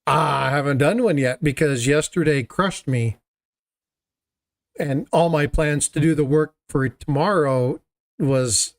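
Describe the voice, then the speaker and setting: Casual man hosting a livestream, slightly high. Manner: rambling, self-deprecating. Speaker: livestream host. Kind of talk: casual chat.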